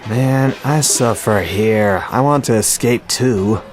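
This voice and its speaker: A cool, unhurried teenage boy, acted by a man in an amateur radio drama. He talks slowly and low, in a dry deadpan with a sardonic edge. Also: faint music behind. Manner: deadpan, slow. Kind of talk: amateur anime-style radio drama acting.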